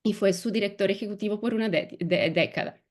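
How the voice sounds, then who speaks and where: A woman moderates a webinar in Latin American Spanish, reading a guest's biography at an even pitch. She keeps a formal tone, with only a few light fillers. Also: brisk. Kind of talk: scripted introduction.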